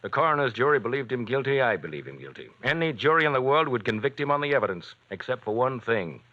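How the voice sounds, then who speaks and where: A man's voice: mid-range voice, sing-song, close to an everyday voice. A man playing a blunt, stern district attorney. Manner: frank, measured, pressing. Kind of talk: 1940s radio acting. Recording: muffled old radio recording.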